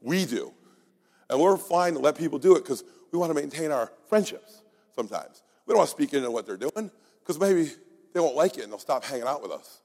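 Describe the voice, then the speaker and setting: A man preaching a sermon in an earnest, exhorting way, his voice gone raspy. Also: fairly high pitch, expressive, a little fast, darkish tone. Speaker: pastor. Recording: long hall reverb.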